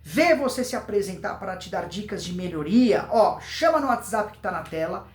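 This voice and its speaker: A Brazilian man with a notably high voice teaches like a coach in an informal video. He speaks emphatically, repeating key words and posing questions that he answers himself. The room adds a clear echo to his voice. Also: lively intonation, normal speed. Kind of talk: informal instructional video to camera. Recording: roomy, echoing voice recording.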